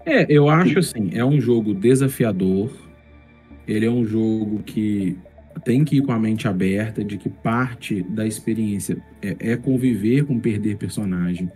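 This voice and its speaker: A man's voice: fairly low pitch, unhurried, dark tone, breathy edge. A man with a Brazilian accent talking casually on a podcast in a reflective, explanatory way. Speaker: tabletop gamer, podcast speaker. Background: faint music.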